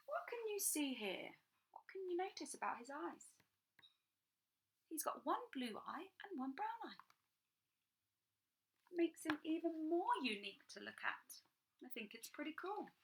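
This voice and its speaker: A woman reading a picture book aloud to children, sing-song and animated, dropping into whispers for little asides. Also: on the high side, slight background noise. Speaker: children's storyteller.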